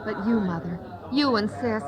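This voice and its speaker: An actress playing a devout grown daughter in a Bible drama, earnest and melodramatic. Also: female voice, medium pitch, normal speed, crowd noise, natural voice. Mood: earnest pleading. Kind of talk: dramatized Bible story acting.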